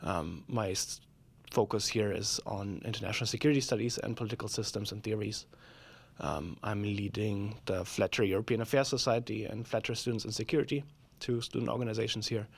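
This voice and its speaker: Male German student panelist, low gravelly voice, slightly under the weather. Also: steady tone, brisk. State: recovering from sickness, sounding a bit off. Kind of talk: informal self-introduction.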